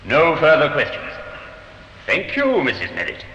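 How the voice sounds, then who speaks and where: An actor playing a formal, emphatic courtroom defense barrister in a 1950s radio drama. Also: male voice, a little high, huge pitch swings, measured pace, dull audio, full character voice. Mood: formal, insistent. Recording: noisy, echoey old radio recording.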